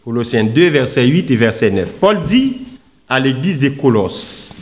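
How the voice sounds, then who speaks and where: A man preaching a sermon in an oratorical, emphatic manner, with deliberate pauses and repeated phrases. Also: medium pitch, sing-song. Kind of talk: sermon with scripture readings and rhetorical questions.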